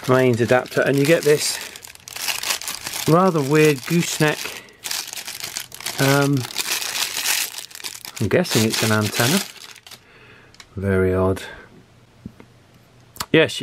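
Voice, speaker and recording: A man's voice: medium pitch, unhurried, long pauses, noisy background. A man with a British accent giving a casual, unscripted product review, thinking aloud with chatty, drily understated asides. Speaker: YouTube radio reviewer.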